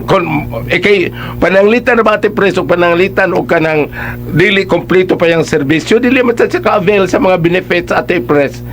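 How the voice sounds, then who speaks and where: A man's voice: high voice, moderate pace, faint music behind. A man with a Cebuano accent giving conversational, emphatic advice as a radio host.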